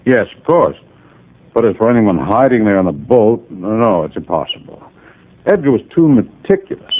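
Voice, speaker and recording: A composed, low-voiced inventor. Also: male voice, sing-song, a little hiss or hum. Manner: measured, composed, a little formal. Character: precise, self-assured middle-aged male radio inventor. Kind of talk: 1950s radio drama acting. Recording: lo-fi old radio broadcast.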